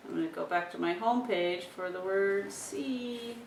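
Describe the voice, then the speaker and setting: A woman's voice: measured pace, creaky, darkish tone. A woman instructor talking parents through a communication-device demonstration, explaining each step aloud as she goes. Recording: roomy echo, distant mic, rumbly noise.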